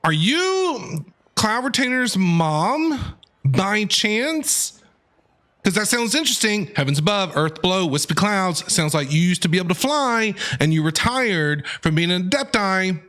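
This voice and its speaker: A man doing live gameplay commentary as a streamer, excitable and thinking out loud. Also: sits high, unhurried. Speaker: game streamer. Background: game music.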